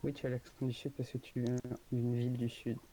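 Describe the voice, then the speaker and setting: A young man chatting casually while playing a video game, reacting with quick excited exclamations. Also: mid-range voice, slight background noise, low-quality recording. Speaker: gamer. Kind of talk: casual gaming chat.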